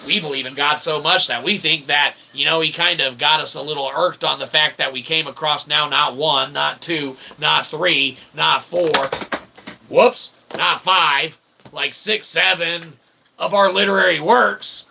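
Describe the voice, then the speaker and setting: A man's voice: fairly high pitch, steady tone, a little slow, vocal fry, bright, forceful tone, a little hiss or hum. A man delivering a rambling, off-the-cuff monologue in pompous, convoluted phrasing, often checking in with "okay" and "all right". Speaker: self-styled philosopher and channel host.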